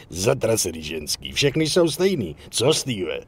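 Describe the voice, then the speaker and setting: Swaggering union boss, animated. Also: male voice, sits low, breathy edge, full character voice. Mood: amused, boastful. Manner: bossy, blunt, swearing, talks down to others. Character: cynical, foul-mouthed middle-aged male dockworkers' union boss. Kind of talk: dubbed crime game cutscene acting.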